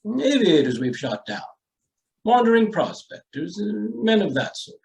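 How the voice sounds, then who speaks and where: An actor playing an aloof, genteel and coolly ruthless estate owner in a radio drama, with formal, hesitant delivery. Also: male voice, high voice, very animated, a little mellow, full character voice, poor audio. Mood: blandly menacing. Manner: pompous, formal, hesitant pauses. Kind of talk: old-time radio drama acting.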